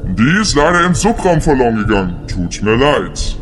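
A man's voice: mid-range voice, sing-song, moderate pace, lightly acted. A man playing a mysterious unseen summoner in a comedic audio drama, solemn in his announcements but prone to exasperated grumbling. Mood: solemn, grand. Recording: music behind the voice.

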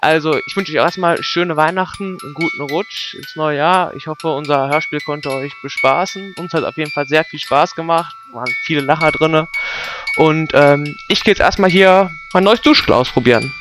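Lowish-voiced casual woman over music. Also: moderate pace. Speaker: podcast cast member in an amateur audio play. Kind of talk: casual chat and amateur radio-play acting.

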